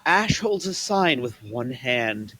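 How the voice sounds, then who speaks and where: A man reading the stage directions aloud at a table read in a plain, even way. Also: medium pitch, takes it slowly, no echo, rough recording.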